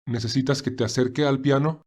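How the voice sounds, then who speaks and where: Woman acting a terse, low-voiced young man. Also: fairly even intonation, a little mellow. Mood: hurried, concerned. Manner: terse, hurried. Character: tense, hurried young male theatre actor, tender underneath.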